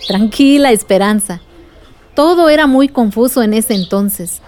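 An actress with a Mexican accent playing a patient, soothing aunt telling a story in a radio drama. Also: female voice, fairly high pitch, animated, a little slow, a little mellow, faint music behind. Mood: patient, reassuring.

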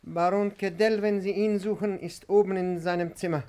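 A gentle, grateful fugitive, played by a male actor in a vintage radio play. His voice is high, and he pleads insistently, saying words over and over. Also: steady tone, moderate pace, close to an everyday voice. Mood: pleading. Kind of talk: 1950s German radio drama acting.

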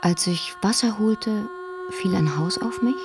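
A woman's voice: mid-range voice, a little slow, close to an everyday voice. A woman playing a dazed bombing survivor who recites verse in a radio play. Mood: dazed, insistent. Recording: loud music under the voice.

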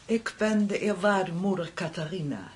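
A woman's voice: lowish voice, animated, a little mellow, slightly rough. A woman in a radio play playing a dignified, guarded mother superior, speaking formally and firmly. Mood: formal, solemn. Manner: formal, measured, firm.

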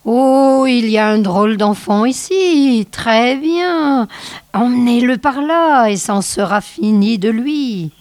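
A woman voicing a fierce old female snow witch who drives her wolves with shrill, bellowing cries. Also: takes it slowly, a little mellow, no echo. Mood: gloating.

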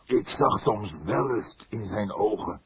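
A man's voice: on the low side, animated, slow, husky, voice pushed up, full character voice. A man voicing a fervent, pious religious father in an audiobook, speaking in a solemn, declamatory way. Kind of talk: audiobook character acting.

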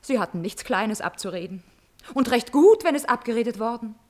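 Woman playing a scornful, high-voiced betrayed countess. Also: animated, a little mellow, close to an everyday voice. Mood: cutting, ominous. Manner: theatrical, emphatic, biting irony with drawn-out repetitions. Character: scorned countess, bitter, mocking, passionately vengeful. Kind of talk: classical German stage tragedy as radio drama.

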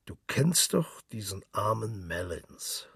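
A man voicing a troubled, hesitant husband in a story, restrained and hiding his irritation, with a husky, gravelly voice. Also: fairly low pitch, sing-song, slow pace, fairly bright tone, dry recording, studio quality, exaggerated voice. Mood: forced casual. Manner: restrained, forced casualness.

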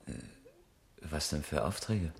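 A man's voice: rumbling low, a little slow, husky, full character voice. A man acting as an engineer and amateur rally driver in a 1970s German radio crime drama, flippant and defensive in manner. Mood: weary, evasive.

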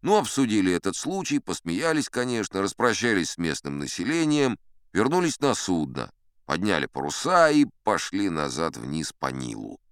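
A boastful old sea captain in an audiobook drama, spins his tall tales in a low-pitched voice. His delivery swings expressively up and down, wry and self-important, with dramatic pauses. The sound is close and dry. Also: big pitch swings, clean tone, no echo. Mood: animated, amused.

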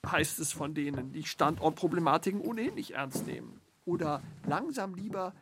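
A woman's voice: sits low, expressive, normal speed, noisy background. A woman playing a sardonic, bureaucratic commentator in a literary radio play, with formal, ironic wording.

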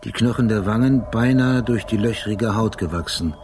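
An actor playing an obsessive police detective in a German radio drama, recounting things in a measured, deliberate, terse way. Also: male voice, on the low side, darkish tone, lightly acted. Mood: grim, precise. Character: obsessive male police detective, precise brooding narrator haunted by memory.

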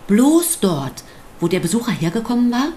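An actress playing a sardonic, defiant pastor's wife in a radio drama, speaking with provocative, theatrical emphasis. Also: female voice, on the high side, very animated, moderate pace, dark tone, a little hiss or hum. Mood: defiant, pointed. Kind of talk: German radio drama acting.

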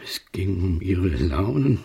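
An actor playing a sly, unctuous merchant and moneylender who feigns sympathy and insinuates in a radio drama. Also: male voice, sits low, sing-song, slow pace, creaky, dark tone, husky, heavily acted. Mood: smooth, insinuating. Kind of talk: German radio drama acting.

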